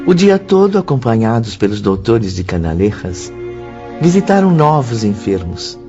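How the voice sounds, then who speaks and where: A man's voice: medium pitch, sing-song, moderate pace, muffled recording. A man narrating a radio drama from a script in a solemn, dramatic manner. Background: music.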